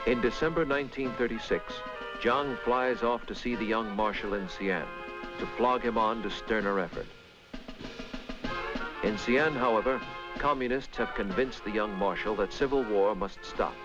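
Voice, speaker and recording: A man reading scripted 1960s documentary narration in measured, grave, clipped dramatic phrases. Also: on the low side. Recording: dull vintage film soundtrack, loud music. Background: loud musical score.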